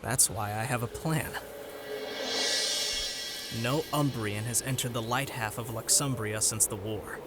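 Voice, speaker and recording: A man's voice: mid-range voice, unhurried, pauses often, close to an everyday voice. Man voicing an earnest young alien historian. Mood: reflective, earnest. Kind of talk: animated film voice-over narration and dialogue. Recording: music under the voice.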